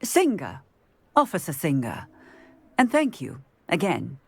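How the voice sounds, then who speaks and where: An actress playing a brisk village officer in a video game, talking fast with sharp exclamations. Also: female voice, low voice, huge pitch swings, creaky, husky, no echo, full character voice. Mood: amazed. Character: brisk village officer, adult woman, startled but warm. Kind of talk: video game cutscene acting.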